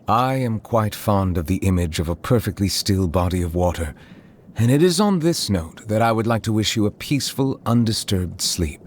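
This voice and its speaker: A man playing a reserved, eloquent chief judge in a video game, speaking in a formal and measured way. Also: lowish voice, animated, a little hiss or hum, natural voice. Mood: courteous, gentle. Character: formal, reserved male chief judge, secretly a water dragon. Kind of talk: scripted game character voice lines. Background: music.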